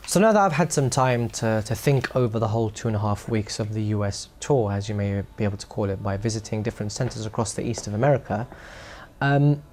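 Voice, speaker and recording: A man's voice: fairly low pitch, fast, a little mellow, slight background noise. A man who recites religious poetry, earnestly explaining and reflecting on his tour, with frequent restarts. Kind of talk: reflective interview commentary.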